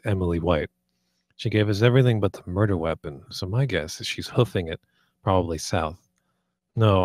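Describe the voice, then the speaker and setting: A man's voice: low-pitched, dry recording, studio quality, natural voice. A man playing a blunt, hard-swearing police detective in an amateur table read, terse and clipped.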